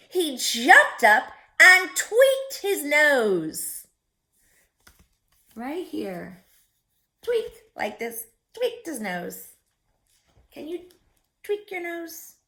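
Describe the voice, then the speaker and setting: A woman's voice: a little high, pushed and bright. A woman reading a picture book aloud to young children as a story-time host, theatrical and often putting questions to her listeners.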